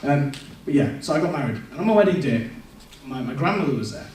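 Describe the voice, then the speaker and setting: A man's voice: on the high side, lively intonation, fast talker, a little mellow, a little hiss or hum. A young man giving a candid, self-deprecating personal testimony in a church, with frequent restarts. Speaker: church speaker. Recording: echoey church hall, mic far off.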